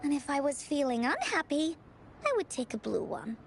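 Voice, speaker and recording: A woman voicing a sweet, earnest young girl in video game story dialogue, gentle and thoughtful. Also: sits high, very animated, moderate pace, vocal fry, soft background music, exaggerated voice. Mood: warm, reflective.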